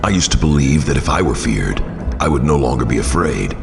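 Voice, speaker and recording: An actor playing a brooding, stern masked vigilante in an audio drama, speaking in a grave, measured way. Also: male voice, very deep voice, animated, vocal fry, full character voice. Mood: solemn. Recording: reverberant, music behind.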